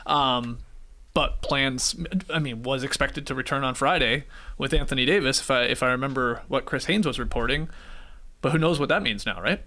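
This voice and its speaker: A man's voice: medium pitch, normal speed, pushed and bright. A man hosting a sports podcast, recapping games and scores in casual chat.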